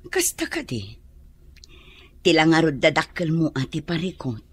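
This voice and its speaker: A woman's voice: deep voice, huge pitch swings, normal speed, husky, no room sound, heavily acted. A woman with an Ilocano accent playing a concerned lady of some standing in a drama.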